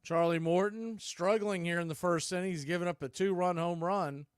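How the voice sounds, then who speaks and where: Guy doing live baseball radio play-by-play, voice a bit high, short clipped calls, close dry sound. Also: steady tone, moderate pace, clean tone, no room sound.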